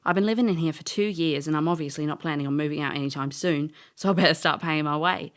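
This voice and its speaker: A woman's voice: sits low, quick pace, natural voice. A woman playing a brash, cheeky, big-hearted elf in an audio drama, with a British accent and wry, colloquial talk. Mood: earnest. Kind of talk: audio drama acting and first-person narration.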